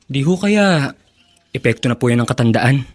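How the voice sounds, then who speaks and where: A man playing a respectful, understanding young grandson in a radio drama, speaking politely and gently. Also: medium pitch, lively intonation, moderate pace, natural voice. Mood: concerned. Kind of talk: Filipino radio drama acting.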